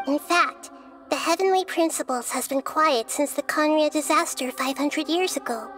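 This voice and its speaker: Woman as a serene, soft, girlish god of wisdom. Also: sits high, lightly acted. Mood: calm, explaining. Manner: measured, earnest, articulate explaining. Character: gentle young girl god of wisdom, serene, thoughtful. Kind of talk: video game voice acting. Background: background music.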